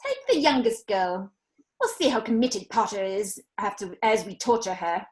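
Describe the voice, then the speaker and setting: A woman voicing a cruel, fanatical dark witch, theatrical and taunting, in an amateur table read. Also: mid-range voice, expressive, normal speed, close to an everyday voice.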